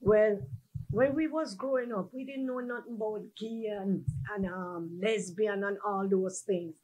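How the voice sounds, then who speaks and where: A woman's voice: mid-range voice, measured pace, rough recording. An older woman from Jamaica with a Jamaican accent, a guest telling her life story in a group chat and laughing as she goes. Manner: lively, laughs as she tells it.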